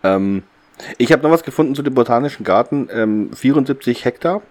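A man's voice: mid-range voice, lively intonation, brisk, a little mellow. A man hosting a podcast in casual discussion, thinking aloud with some hesitation. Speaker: podcast host.

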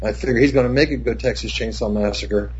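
An older man, a cinematographer, telling rambling, profane set stories with many restarts, in a husky voice. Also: mid-range voice, fast, slight background noise. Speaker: cinematographer guest. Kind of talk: casual anecdote. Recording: dull lo-fi recording.

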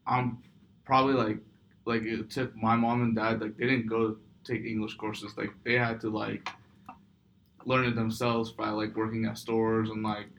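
Young cali dude, arabic speaker, rambles casually, kinda flat and gravelly, bit of noise behind. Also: male voice, fairly low pitch, moderate pace, low-quality recording. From San Francisco, United States. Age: young adult. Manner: talkative, slangy, meandering. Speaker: podcast guest. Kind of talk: casual chat. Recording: faint background noise.

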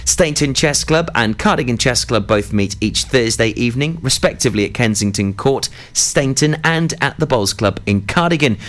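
A man's voice: mid-range voice, expressive, normal speed, hardly any pauses, fairly bright tone. A man reading a scripted local news bulletin on the radio. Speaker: news reader.

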